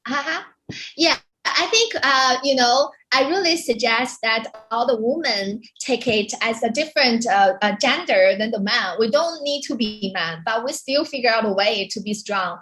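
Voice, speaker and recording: A woman panelist answering informally in halting English with non-native phrasing. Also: a little high, animated, a bit bright, low-quality recording.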